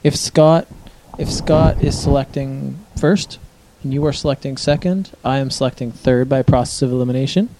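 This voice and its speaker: A man with a cold and a raspy voice, co-hosting a casual podcast, explaining things patiently with wry teasing. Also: medium pitch, steady tone, moderate pace, few pauses, background noise. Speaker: podcast co-host running the draft. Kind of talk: casual banter.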